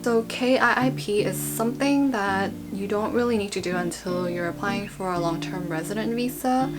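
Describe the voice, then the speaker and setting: A woman's voice: medium pitch, normal speed. A young woman talking casually and informally as a vlogger, in a chatty, rambling explainer style. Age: young adult. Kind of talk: casual informational vlog talk. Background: background guitar music.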